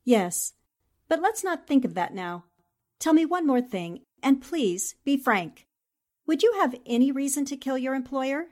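A woman's voice: high-pitched, animated, a little slow, darkish tone. An actress playing a determined, composed newspaperwoman who probes with sympathy, in 1940s-style radio drama. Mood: firm.

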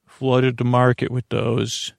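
A man hosting a bedtime podcast in a meandering, halting monologue full of trailing asides, with a creaky, gravelly voice. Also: medium pitch, completely flat delivery, no echo, studio quality. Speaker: podcast host.

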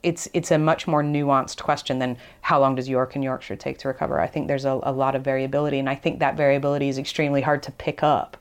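A woman's voice: extremely low pitch, level tone, fast talker, few pauses. A woman archaeologist giving qualified, tentative explanations as an interview guest.

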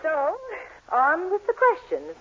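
An actress playing a prim, exasperated marriage counselor in a 1940s radio sitcom, formal and stubborn. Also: female voice, very high voice, sing-song, takes it slowly, fairly bright tone, heavily acted. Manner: crisp formality, barely contained exasperation. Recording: muffled 1940s radio, faint noise.

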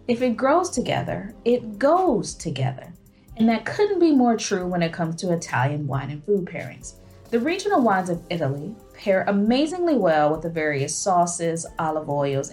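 A woman's voice: mid-range voice, darkish tone. A woman hosting a podcast, reading scripted narration with an upbeat delivery. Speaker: podcast host.

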